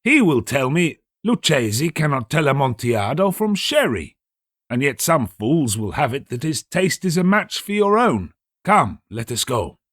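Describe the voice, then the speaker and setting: Man playing a sly, coaxing gentleman, lilting delivery. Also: on the high side, sing-song, normal speed, dry recording, clean studio sound, pitched above the performer's own voice. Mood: coaxing, baiting. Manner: courteous, coaxing, formal. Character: sly vengeful gentleman feigning polite, solicitous friendship. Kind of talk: audiobook character dialogue.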